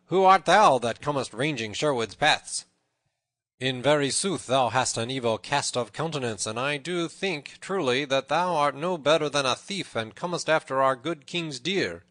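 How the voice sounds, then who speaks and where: A man voicing a big, hot-tempered outlaw in a storybook, loud and blustering in old-fashioned speech. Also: a little high, normal speed, dry recording, voice pushed up. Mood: bold challenge. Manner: loud, archaic, challenging bluster.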